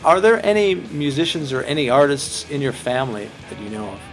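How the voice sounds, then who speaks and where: A man's voice: medium pitch, sing-song, fairly quick, faint music behind, rough recording. A man interviewing a musician, asking questions in a hesitant way with frequent fillers.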